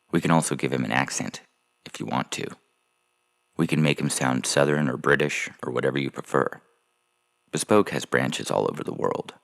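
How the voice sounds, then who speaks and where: A man playing a slick, callous adoption agency salesman with gravelly smooth patter in an audio story. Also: a bass voice, fairly bright tone, heavily acted. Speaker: voice actor reading a fiction podcast story. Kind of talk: dramatized short story reading. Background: music under the voice.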